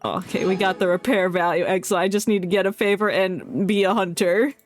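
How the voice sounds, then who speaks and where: A game streamer with a mid-range, androgynous voice talks through live play in a casual, chatty way. The delivery is playful and excitable, often muttered as if to themselves, with game music running behind it. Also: medium pitch, a little fast. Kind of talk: casual live gaming commentary.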